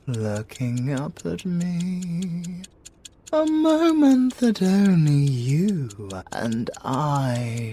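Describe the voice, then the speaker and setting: Man acting as male siren, British accent, possessive lover, crooning menace, ASMR roleplay, drawn-out pacing, lively swooping pitch, some background noise. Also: full character voice. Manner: slow, crooning, swinging from menacing to tender. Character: possessive male siren with talons, violent yet tenderly obsessive.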